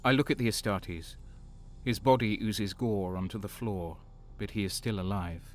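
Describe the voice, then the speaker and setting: An actor playing a grim, battle-worn traitor soldier, narrating in terse, intense first person for an audio drama. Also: male voice, on the low side, moderate pace, a little hiss or hum, lightly acted. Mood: ominous.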